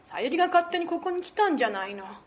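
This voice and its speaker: A woman voicing a coy, poised and wry café keeper in an amateur radio drama, with drawn-out, teasing feminine speech. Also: very high voice, very animated.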